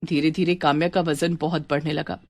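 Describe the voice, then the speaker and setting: A woman's voice: low voice, little pitch movement, a little fast, creaky, no echo, studio quality. A woman narrating a scripted story as its storyteller.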